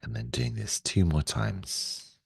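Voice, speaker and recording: A man leading a guided meditation in a gentle, soothing way, speaking slowly and softly with long pauses. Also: on the low side, animated, gravelly, husky, no echo, clean studio sound.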